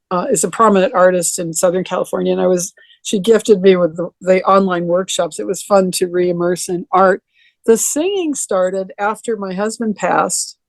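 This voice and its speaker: An older woman with a deeper voice, reminiscing in a rambling way with many restarts during a video call interview. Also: creaky, no echo. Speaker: interview guest.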